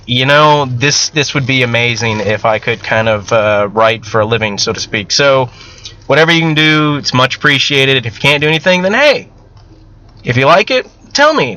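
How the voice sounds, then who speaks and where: A man's voice: fairly high pitch, pushed and bright, rough recording. A man talking casually and unscripted to viewers as an amateur writer, rambling, candid and self-deprecating, with casual swearing. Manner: casually profane. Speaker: amateur novelist and video host.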